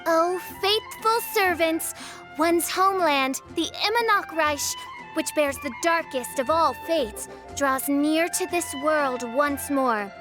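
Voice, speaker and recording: A woman's voice: high voice, slow, over music. A woman playing a theatrical teenage self-styled princess in an anime game, pompous and melodramatic with archaic phrasing. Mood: solemn, proclaiming. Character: theatrical teenage girl posing as grandiose gothic princess.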